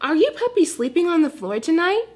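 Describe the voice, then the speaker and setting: A woman's voice: very high-pitched, big pitch swings, a little fast, darkish tone, pitched above the performer's own voice. A woman voicing a weary, exasperated mother dog in a children's storybook. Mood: puzzled. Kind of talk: read-aloud children's storybook character.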